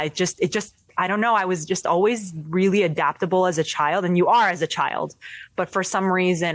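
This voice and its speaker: Animated young woman, low voice, dry. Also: no echo. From Philippines. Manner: animated, laughing, many fillers and restarts. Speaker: filmmaker guest. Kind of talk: casual interview chat.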